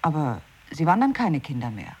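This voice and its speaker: A woman's voice: deep voice, big pitch swings, fairly quick, a little mellow, breathy edge, heavily acted. An actress playing a doubtful woman who keeps questioning her partner, in an experimental radio play.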